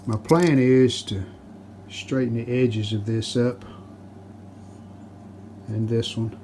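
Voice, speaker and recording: A man casually narrating a hands-on how-to as he works, plain-spoken, unhurried and modest. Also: medium pitch. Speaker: restoration hobbyist and video host. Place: home basement workshop. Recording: steady background noise, handling rustle.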